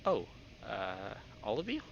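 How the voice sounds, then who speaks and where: A man's voice: on the low side, wildly swooping pitch, measured pace, vocal fry, heavily acted. Man voicing a wary panda homeowner, lo-fi. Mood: wary, apologetic. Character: wary male panda homeowner, puzzled and reluctant. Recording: dull lo-fi microphone, faint noise.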